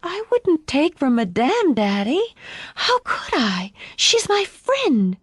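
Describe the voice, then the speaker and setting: A woman narrator acts out a young girl in an audiobook. The voice sits high and sounds eager, open and trusting, a loving daughter who is easily upset. The sound is dry and close. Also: wildly swooping pitch, slow, no echo, exaggerated voice. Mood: upset, pleading. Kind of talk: audiobook character voice.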